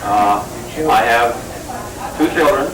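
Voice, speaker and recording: A man's voice: mid-range voice, slow pace, crowd noise. A man in his sixties telling his family story in a rambling, joking way, with many fillers. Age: 60s. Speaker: family member introducing himself. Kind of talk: casual recorded family introductions. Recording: echoey room, mic far off, noisy.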